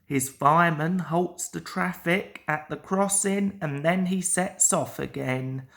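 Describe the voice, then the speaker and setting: A woman's voice: low-pitched, slow pace, gravelly. A woman reading a children's storybook aloud as scripted narration. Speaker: storybook reader.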